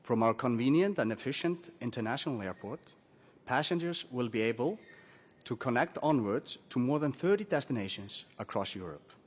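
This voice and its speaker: A man from Iceland presents as a company executive at a formal announcement. His delivery is that of a prepared business speech to an audience. His voice sits at a medium pitch for a man. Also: animated, moderate pace, breathy edge. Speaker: airline chief commercial officer.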